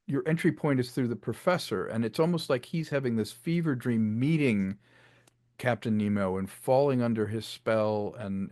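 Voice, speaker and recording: A man with a mid-pitched voice talks casually in an interview as a filmmaker. He tells his stories conversationally and often checks in with the listener, in a dry sound free of room echo. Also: darkish tone. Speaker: filmmaker and audio drama creator being interviewed.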